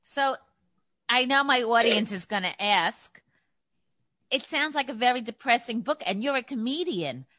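A woman's voice: fairly high pitch, lively intonation, a little slow, sharp tone, dry recording. A woman from New York with a New York accent, hosting an interview with upbeat enthusiasm. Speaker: host. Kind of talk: interview host.

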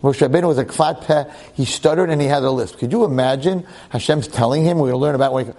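A man's voice: medium pitch, fast, mellow. A man giving a Torah lecture to a class, emphatic and dramatic, repeating phrases and asking rhetorical questions. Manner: public speaker.

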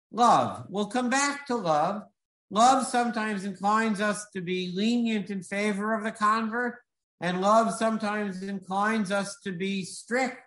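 High-voiced man lecturing in an echoey room. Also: a bit bright. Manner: measured, explanatory, with emphatic repetition.

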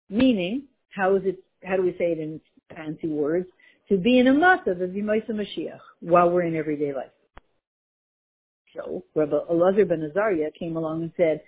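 Female Torah teacher, animated lecture style, slightly husky, tinny phone-line recording. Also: medium pitch. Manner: lively, mixes Hebrew and Yiddish terms, searches for words. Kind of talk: Torah class explaining a text.

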